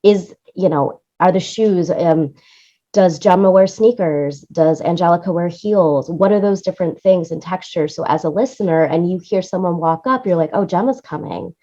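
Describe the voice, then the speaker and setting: A woman's voice: on the low side, normal speed, warm tone, highs cut off. A woman talking enthusiastically and conversationally as an interview guest about her creative work. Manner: enthusiastic, effusive. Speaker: interview guest, radio play producer and music supervisor.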